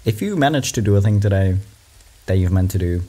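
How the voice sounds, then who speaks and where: Guy, lively swoopy voice, reacting to memes, rambly and chill. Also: deep voice, a little fast. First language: German. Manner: rambling, animated, laughs often, swears. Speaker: video creator reacting to memes. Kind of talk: casual meme reaction chat.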